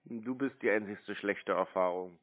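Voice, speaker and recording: A man's voice: on the low side, a little slow, no echo. A man in mocking phone banter, blunt, sarcastic and taunting, with a narrow phone-like sound. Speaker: scam baiter taking a call.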